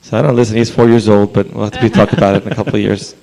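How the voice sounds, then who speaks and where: A man with a hoarse voice, a rabbi giving an earnest, conversational public comment at a city council meeting. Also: fairly low pitch, level tone, racing, warm tone, rough recording. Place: council chamber.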